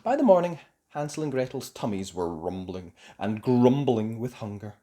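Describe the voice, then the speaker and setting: A children's story reader narrating a fairy tale. The delivery is theatrical and emphatic, with very lively rises and falls in pitch. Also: moderate pace. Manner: theatrical, emphatic for young listeners. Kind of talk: expressive scripted storybook narration.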